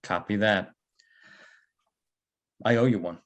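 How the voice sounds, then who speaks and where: A man playing a calm, probing security guard turned investigator in a naturalistic script read, low-key and terse. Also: takes it slowly. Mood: thoughtful.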